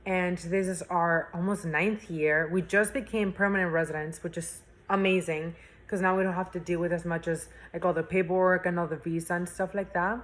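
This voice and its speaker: A woman's voice: on the low side, steady tone, normal speed. A young woman from Spain, a university student, chatting as an interview guest with lots of fillers and restarts. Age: young adult. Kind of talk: casual interview chat.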